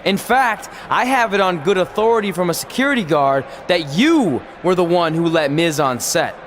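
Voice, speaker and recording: A man's voice: high-pitched, expressive, normal speed, slight background noise. A man playing a cocky, defiant wrestler in a video game, brash and sarcastic as he delivers a promo. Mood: indignant. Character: cocky, defiant young male wrestler turned movie star.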